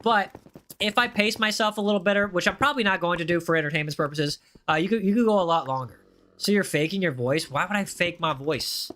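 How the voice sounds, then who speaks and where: Young man streaming a game, high voice, bright tone, repeats himself, casual chatter with viewers. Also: quick pace. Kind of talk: live gaming stream chat.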